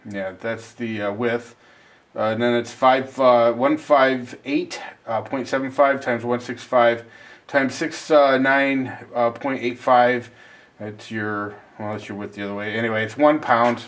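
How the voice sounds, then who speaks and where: A man's voice: mid-range voice, little pitch movement, slow pace. Male video host, mid-range voice, informal and rambling gear unboxing, self-deprecating delivery. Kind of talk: casual unboxing and review talk.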